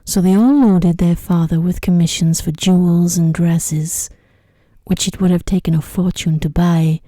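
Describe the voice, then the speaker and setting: A woman reading a bedtime story in ASMR style, soft and hushed, unhurried, often slipping toward a breathy whisper, close to the microphone. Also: on the low side, steady tone, no room sound. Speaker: storyteller, narrator.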